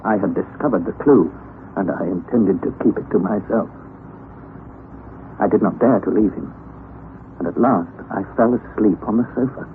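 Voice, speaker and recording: An actor playing an earnest young nephew who narrates an adventure in a dramatic old-time radio style. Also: male voice, fairly low pitch, moderate pace, pauses often, lightly acted. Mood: tense, secretive. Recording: tinny, narrow, noisy vintage radio recording.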